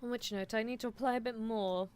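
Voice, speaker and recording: A woman's voice: on the high side, steady tone, fast talker, darkish tone, clear, resonant tone. A woman streaming an improvised ASMR roleplay as a scheming, possessive anime mad scientist, muttering in theatrical exasperation. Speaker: streamer performing character roleplay.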